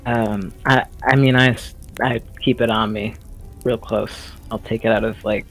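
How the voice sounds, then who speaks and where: A man playing a tabletop game, casually and haltingly describing what his character does. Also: on the low side, fairly even intonation, fairly bright tone, rough recording. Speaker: player. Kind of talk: casual improvised role-play narration. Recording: music behind the voice.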